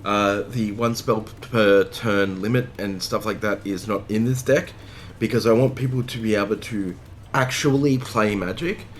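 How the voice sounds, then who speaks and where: A man from Australia co-hosting a casual card game stream, his voice still rough after covid, talking frankly with lots of ums. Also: lowish voice, steady tone, moderate pace, a little hiss or hum. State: recovering from covid, voice affected. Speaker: streamer and co-host.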